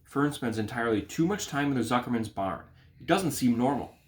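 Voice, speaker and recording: A man's voice: mid-range voice, expressive, fairly quick, natural voice. A man voicing a worried, puzzled mother of a young girl in a storybook, fretful and questioning.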